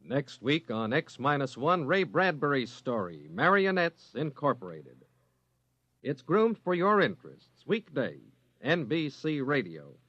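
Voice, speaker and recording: A man's voice: medium pitch, very animated, unhurried, a bit bright, dry recording. A man announcing a 1950s radio promo from a script. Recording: dull old radio recording.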